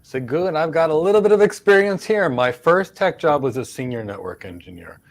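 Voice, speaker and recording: A man's voice: on the high side, animated, a little fast. A middle-aged man with a Philadelphia accent, hosting a live career Q&A and stressing points by repeating words. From United States. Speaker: host, career mentor. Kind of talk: live Q&A, answering career questions.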